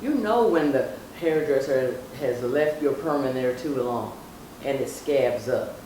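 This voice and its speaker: A woman's voice: a bass voice, expressive, unhurried, dark tone. Middle-aged woman in a very echoey room. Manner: emphatic, repeats phrases for stress. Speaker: mother, guest on her daughter's vlog. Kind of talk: casual personal storytelling and advice. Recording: very echoey room, distant mic, faint noise.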